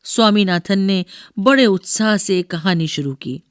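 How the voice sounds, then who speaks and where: A woman's voice: medium pitch, expressive, measured pace, clean tone, no echo, clean studio sound. A woman narrator reading a scripted story for schoolchildren.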